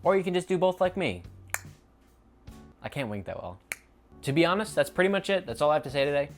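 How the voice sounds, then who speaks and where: A man's voice: fairly high pitch, lively intonation, fast. Young guy yapping at his camera off the dome, rambly, keeps correcting himself, faint music behind. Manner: rambling, self-correcting, off the cuff. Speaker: YouTube creator. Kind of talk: unscripted casual vlog talk.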